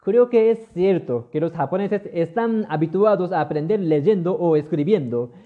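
A man's voice: high voice, normal speed, phone-quality sound. A man from Japan with a Japanese accent, hosting a scripted solo podcast in an earnest, lightly humorous teaching tone. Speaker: podcast host. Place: lives in Mexico.

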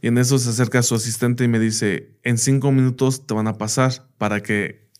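A man's voice: mid-range voice, level tone, normal speed, a little mellow, smooth. A man whose first language is English, a teacher and podcast guest, telling anecdotes in a casual interview, often saying "bla, bla" and "¿me entiendes?".

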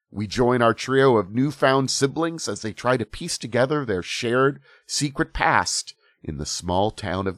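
A hoarse man narrating a tabletop horror game as game master, in a relaxed, conversational way. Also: medium pitch, no echo. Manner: relaxed, conversational, filler-heavy.

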